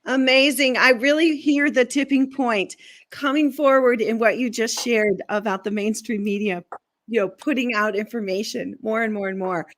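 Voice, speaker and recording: A woman's voice: a little high, moderate pace. A woman hosting a live show, upbeat and enthusiastic as she asks her guest questions. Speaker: show host.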